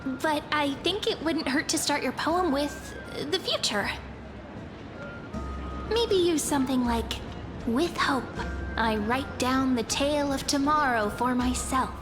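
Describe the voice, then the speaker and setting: A playful young girl adventurer from an anime game. Her voice is high, cheerful and unhurried. Clear music runs behind her. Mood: encouraging.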